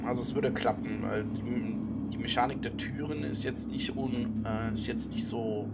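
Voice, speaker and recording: A man's voice: medium pitch, fairly even intonation, normal speed, vocal fry, heavy background noise. A man running a tabletop game as game master, narrating casually and off the cuff into a close microphone. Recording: music under the voice.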